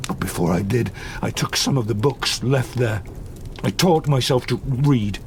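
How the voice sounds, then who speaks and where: A man's voice: medium pitch, slight background noise, close to an everyday voice. An actor playing a wretched, vengeful man-made monster in a gothic audio drama, grave and anguished in his telling. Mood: brooding, vengeful. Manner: slow, grave, anguished storytelling.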